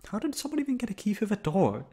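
A young man playing a gruff but affectionate, protective delinquent student in an intimate ASMR roleplay, talking in a casual, foul-mouthed way. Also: sits high, huge pitch swings, quick pace, warm tone, exaggerated voice. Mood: startled, annoyed.

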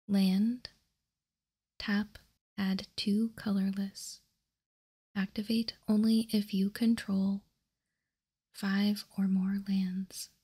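A woman whispering softly and deliberately in ASMR style, close to the microphone as she hosts. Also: mid-range voice, husky. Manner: soft, deliberate, unhurried whispering. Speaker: host. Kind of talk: whispered ASMR, reading trading cards aloud.

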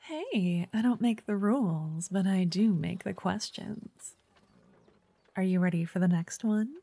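Woman acting a soft-voiced, pushy, obsessive fangirl. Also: medium pitch, lively intonation, measured pace, creaky, breathy edge, no room sound, full character voice. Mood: insistent, teasing. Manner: chatty, coy, insistent, slipping into whispers. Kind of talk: ASMR roleplay.

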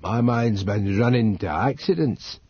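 A man voicing a taciturn old male servant, speaking slowly and gruffly. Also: lowish voice, lively intonation, dark tone, exaggerated voice. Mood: grim. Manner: slow, gruff dialect speech. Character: taciturn old male retainer, dour and blunt. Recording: dull dry studio read.

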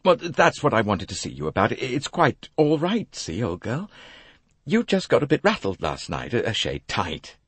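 Guy playing a posh young chap in an audiobook, hearty and clipped, bit husky, pitch bouncing about. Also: huge pitch swings, moderate pace, fairly bright tone, dry recording, full character voice. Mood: bluff reassurance. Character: breezy upper-class young Englishman, stoutly reassuring.

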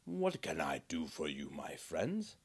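A humble, patient monk in a storybook, soft-spoken and kind, as a man reading aloud gives him voice. The voice is husky and a little low, with lively rises and falls. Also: slow, full character voice. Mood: gentle. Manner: soft, patient, warm-hearted.

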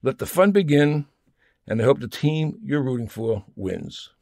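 A man's voice: mid-range voice, expressive, unhurried, creaky, darkish tone, a little hiss or hum. A man reading a scripted humorous monologue aloud in a wry, mock-serious manner, stressing items as he lists them. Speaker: humorist reading own comic essay.

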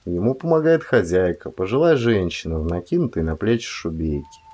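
A man's voice: sits low, lively intonation, a little fast, a little mellow, husky. A man reading literary prose as an actor narrating an audiobook, expressively.

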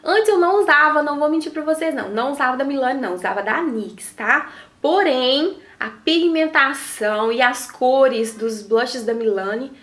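A woman's voice: high-pitched, moderate pace, a bit bright. A Brazilian woman in her thirties, a makeup artist talking to camera in a beauty tutorial, chatty and affectionate, her voice slightly nasal from a cold. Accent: Brazilian Portuguese. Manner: chatty, affectionate, ends phrases with tag questions. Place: small indoor studio in front of a logo backdrop. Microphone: camera framing head and shoulders. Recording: roomy echo.

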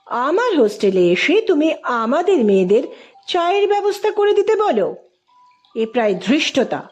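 A woman's voice: sits high, sing-song, moderate pace, a little mellow, pitched above the performer's own voice. A woman voicing a stern, easily irritated female hostel superintendent in a story, curt and scolding. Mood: stern, annoyed.

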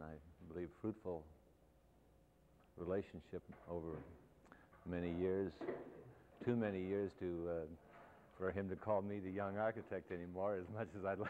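A man in his sixties, an architect speaking as guest lecturer to students, reflective and loosely phrased with restarts. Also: low voice. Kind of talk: informal talk to students. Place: lecture hall. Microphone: lapel mic. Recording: thin, phone-like, faintly hissy 1970s videotape.

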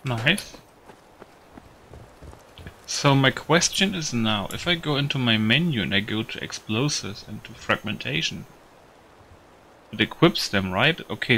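A man with a bright, clear-cut tone commentates casually over a video game. His delivery is halting and repetitive, as if he is working out his thoughts while he speaks. His pitch sits in an ordinary male range. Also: slight background noise, low-quality recording. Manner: halting, repetitive, thinking aloud. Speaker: gaming video host.

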